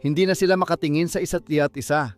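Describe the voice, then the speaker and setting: Higher-voiced man narrating dramatic stories. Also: steady tone, quick pace, soft background music. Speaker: audiobook narrator. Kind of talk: dramatic scripted storytelling.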